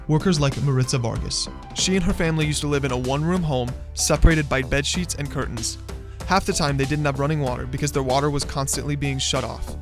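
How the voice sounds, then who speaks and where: A man reading a scripted student news report in an even, brisk delivery. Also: mid-range voice. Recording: voice-over with faint music.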